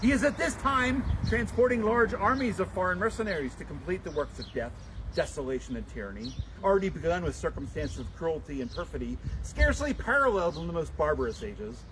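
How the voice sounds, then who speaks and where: A man giving a solemn, dramatic public reading of a historical document, with deliberate, declamatory phrasing. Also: on the high side, very animated. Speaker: public reader and livestream host. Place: outdoors at a town memorial. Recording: noisy outdoor livestream, traffic and street sounds.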